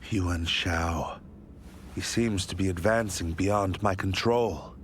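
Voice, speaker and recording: A lowish male voice portrays a stern, ambitious warlord in a game cutscene. His delivery is formal, grave and commanding, with faint noise in the background. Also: slow. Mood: grave, resolute. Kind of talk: dramatic video game cutscene acting.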